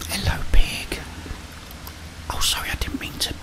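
A young man giving whispered ASMR game commentary in a breathy voice, murmuring short remarks to himself and his viewers. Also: unhurried, low-quality recording. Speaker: gaming ASMR host. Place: small indoor room with plain wall.

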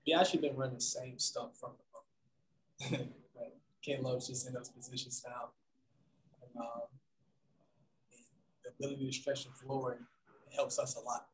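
A young man answering post-game press questions in a soft, hesitant way with many pauses and ums, over a video call. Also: mid-range voice, little pitch movement, slight background noise. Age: young adult. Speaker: basketball player at press conference. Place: room in front of team backdrop. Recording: roomy video call audio.

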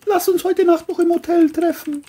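A woman's voice: very high-pitched, steady tone, moderate pace, warm tone, voice pushed up. A woman voicing an intense, volatile, seductive and menacing woman in a crime audio play. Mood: seductive, pleading.

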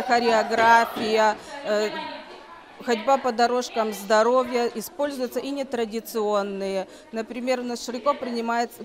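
A middle-aged woman giving an interview explanation as kindergarten staff, halting and often correcting herself. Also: fairly high pitch. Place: large kindergarten gym, indoors. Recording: children and bustle in busy hall. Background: group of children active in the hall.

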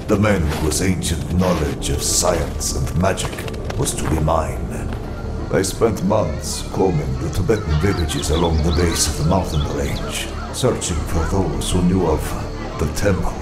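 A man performs a proud supervillain with a very low voice, solemnly narrating his own saga in a motion comic. He is grandiose and deliberate, with loud music underneath. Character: proud, vengeful adult male supervillain recounting his quest. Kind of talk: dramatic first-person narration for a motion comic.

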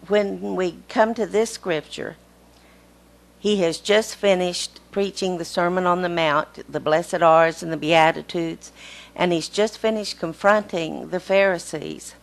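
A woman lay speaker delivering a sermon at a pulpit microphone in a small church, in a steady storytelling manner. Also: on the low side.

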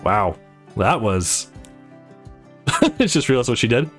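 A man's voice: medium pitch, huge pitch swings, slow, fairly bright tone, soft background music. A man hosting a game playthrough, reading visual novel dialogue aloud with casual, amused reactions. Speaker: let's play host.

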